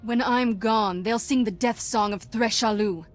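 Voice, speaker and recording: A woman's voice: on the high side, a little slow, natural voice. A woman acting a young fallen Jedi who leads a cult in a video game, taunting, boastful and fanatical. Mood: defiant.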